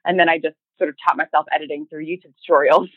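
A woman's voice: low-pitched, steady tone. Woman, phone-quality sound but no room echo, chatting and rephrasing as she goes. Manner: halting, rephrasing often. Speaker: podcast interview guest, writer and creator. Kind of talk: casual conversational storytelling. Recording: thin, phone-like narrow band.

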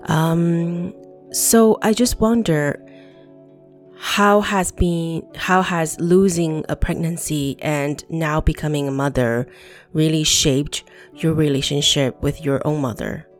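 Low-voiced woman host asking questions. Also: takes it slowly, soft background music. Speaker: podcast host, interviewer. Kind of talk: interview questions.

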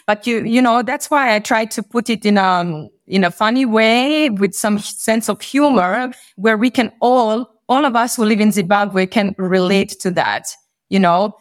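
A woman from Bosnia speaking as a podcast guest, answering conversationally in a reflective, halting way and often rephrasing as she goes. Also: medium pitch.

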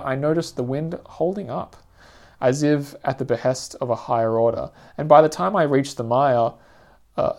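A man reads his own personal essay aloud in a reflective, literary and measured manner. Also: medium pitch, a little mellow. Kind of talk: scripted personal essay narration.

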